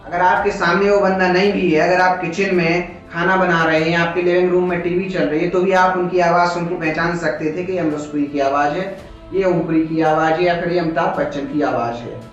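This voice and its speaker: A man's voice: high-pitched, steady tone, brisk. A man with an Indian accent lecturing informally like a classroom teacher, explaining by asking questions and answering them himself. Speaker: teacher, coaching instructor. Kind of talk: informal classroom-style lecture, mixing Hindi and English. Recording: echoey room, distant mic, dull sound, background music.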